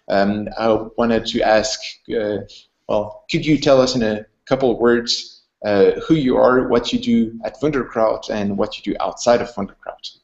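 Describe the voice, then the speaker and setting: Male host, informal and hesitant, mid-pitched. Roomy, dull recording. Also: a little mellow. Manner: hesitant, repeating words. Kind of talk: informal hosting of an online company talk. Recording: roomy, muffled online call audio.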